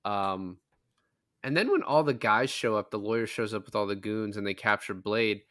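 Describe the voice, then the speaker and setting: A man's voice: fairly low pitch, normal speed, a bit bright, no room sound. Man chatting casually, dry close sound. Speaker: podcast co-host. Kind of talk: casual film discussion.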